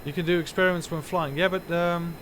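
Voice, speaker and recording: A man's voice: fairly high pitch, fairly even intonation, a little fast, fairly bright tone, a little hiss or hum. A man whose first language is Dutch, giving rambling, self-correcting live commentary while streaming a game from home.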